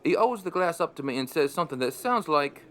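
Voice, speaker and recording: A man's voice: fairly high pitch, animated, brisk, a bit bright. An actor playing a wry, chatty pub landlord, narrating his story in a conversational way for an audio drama. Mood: curious.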